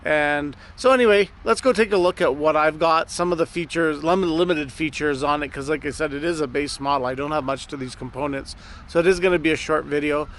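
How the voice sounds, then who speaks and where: A man's voice: fairly high pitch, fairly bright tone. A man hosting a vlog, chatting casually and a bit ramblingly to his viewers as he reviews gear. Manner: chatty, rambling, addresses viewers as guys and gang. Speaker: host of a car gear video series.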